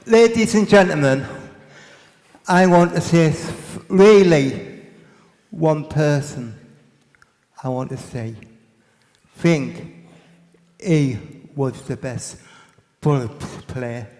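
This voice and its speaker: A man speaking to a crowd on stage, halting and repeating himself. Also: fairly high pitch, darkish tone. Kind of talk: unscripted speech to a crowd. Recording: reverberant hall with crowd noise.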